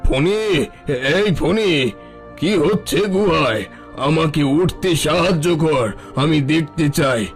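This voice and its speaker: A man's voice: on the high side, slow, vocal fry. An actor playing a sick, grateful old bandit chief in a cartoon, speaking in a tender, fatherly way. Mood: curious, eager. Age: older. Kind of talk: Bengali cartoon story voice acting. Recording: music playing under the voice.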